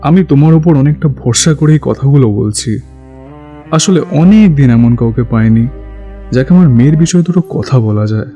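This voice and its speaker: A man's voice: mid-range voice, animated, moderate pace, mellow, highs cut off, close to an everyday voice. An actor playing a middle-aged father who confides earnestly and sadly about his grown daughter in an audio drama. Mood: confiding, worried. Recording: music under the voice.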